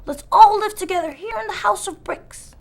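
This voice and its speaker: A woman voicing a small, sensible male pig in a storybook reading, high and earnest. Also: moderate pace, vocal fry.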